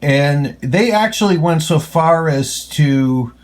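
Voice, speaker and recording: A man giving a casual hands-on gadget demonstration as a hobbyist reviewer, halting and pausing mid-phrase, with a skeptical, opinionated bent. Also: fairly high pitch, animated.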